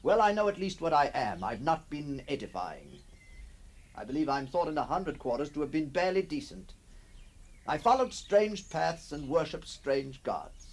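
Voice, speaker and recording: A man's voice: fairly high pitch, sing-song, moderate pace. An actor playing a restless middle-aged man obsessed with his other self, speaking in intense, literary phrases in a ghost-story drama. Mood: brooding regret. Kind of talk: radio ghost-story acting and first-person narration.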